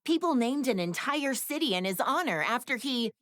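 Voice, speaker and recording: A woman's voice: sits high, animated, normal speed, fairly bright tone, dry recording, studio quality. A woman playing a sassy, worldly wife in a video game, talking in a wry, blunt, conversational way. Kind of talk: animated video game character dialogue.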